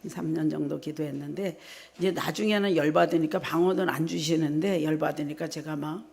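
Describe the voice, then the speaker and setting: A middle-aged woman from South Korea, a preacher giving a personal testimony at a revival meeting in an animated storytelling manner. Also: low voice, brisk, gravelly. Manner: animated storytelling, re-enacts her own prayers in direct speech.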